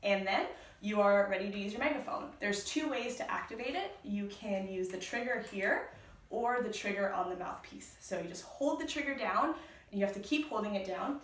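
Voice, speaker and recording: A woman explains something step by step in an informal, plain-spoken way. Her voice sits at an average pitch and is picked up from a distance in a roomy, echoing space. She stresses key points as an instructor would. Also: expressive, normal speed. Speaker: instructor, activist. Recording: roomy echo, distant mic, background noise.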